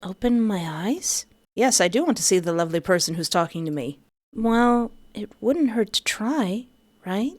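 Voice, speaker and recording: A woman's voice: medium pitch, expressive, normal speed, no room sound. A young woman reading a visual novel aloud and breaking in with playful, joking asides. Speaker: game streamer.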